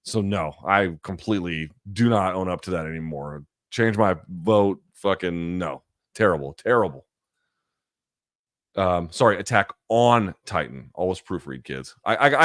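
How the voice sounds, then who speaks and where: Man, deep voice, dry sound, casual, blunt, profane, live chat host. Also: no echo. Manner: blunt, profane, animated asides. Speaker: host answering viewer questions.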